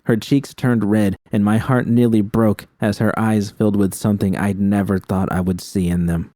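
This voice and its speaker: A man's voice: fairly low pitch, flat delivery, moderate pace, warm tone, no echo, clean studio sound. A man reading scripted first-person dramatic fiction as an audiobook narrator.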